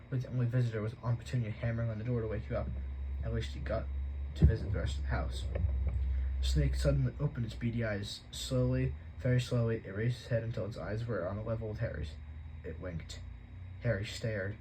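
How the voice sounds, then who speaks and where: A man reading a novel aloud at a steady pace, with an occasional stumble he corrects. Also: lowish voice, creaky, noisy background. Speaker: audiobook reader.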